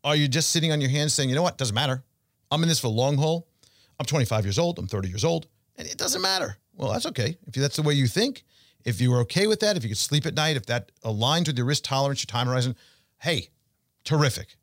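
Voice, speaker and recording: A man hosting a financial talk show, speaking conversationally and emphatically to listeners, with rhetorical questions and deliberate pauses. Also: mid-range voice, expressive, dry recording, clean studio sound. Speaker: financial show host and portfolio manager. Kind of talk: conversational investment commentary addressed to listeners.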